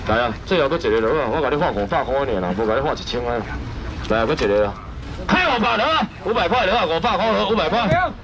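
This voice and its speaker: Fast-shouting market auctioneer man. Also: very animated. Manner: loud rapid sales pitch, shouting prices. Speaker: seafood auctioneer. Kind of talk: live market auction patter. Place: outdoor night market stall. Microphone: wide camera in front of stall. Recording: noisy outdoor market, traffic behind.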